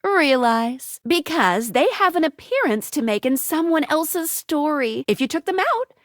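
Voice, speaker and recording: Casting: bubbly, cheeky female helper for a dating sim game; high-pitched, very animated delivery. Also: a little slow, no echo, studio quality. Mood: helpful, chipper. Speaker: video game voice actor.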